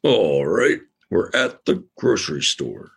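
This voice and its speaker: A man voicing a sensible, patient male elephant in a children's cartoon, explaining things calmly. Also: low-pitched, takes it slowly, gravelly, over loud music, heavily acted. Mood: bargaining. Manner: patient, explaining, mildly fussy.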